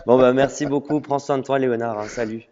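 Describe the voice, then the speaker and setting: A man's voice: mid-range voice, steady tone, fast talker, mellow, slight background noise. Man interviewing over a reverberant call. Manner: friendly interviewer with frequent hesitation sounds. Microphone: audio call. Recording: lo-fi audio with a long reverb.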